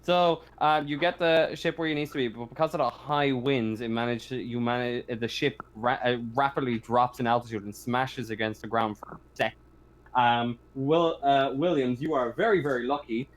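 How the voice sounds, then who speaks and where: Man refereeing a tabletop game, halting delivery. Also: medium pitch, steady tone. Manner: halting, often restarts and repeats phrases. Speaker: game master.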